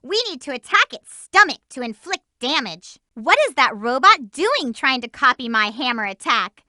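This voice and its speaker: A woman's voice: sits high, very animated, moderate pace, sharp tone, no room sound, exaggerated voice. A woman voicing a spirited, bossy teenage girl hedgehog in a video game, delivering hints in an upbeat, emphatic way, recorded close in a studio. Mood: eager. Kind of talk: game voice lines and tutorial hints.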